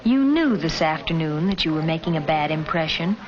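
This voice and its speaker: A woman's voice: deep voice, expressive, brisk, a crowd in the background. A woman reading scripted narration for a 1950s educational film, addressing the listener directly in an admonishing way. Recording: dull vintage film soundtrack with music. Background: film score music.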